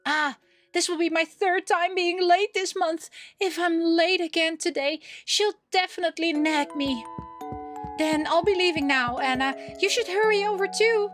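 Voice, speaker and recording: A clumsy, caring young maid acted out by a woman reading a game aloud. The voice sits high and sounds concerned and chattering, over clear background music. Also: normal speed, fairly bright tone. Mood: chiding, friendly. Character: young female maid, worried clumsy airheaded roommate. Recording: music playing behind the voice.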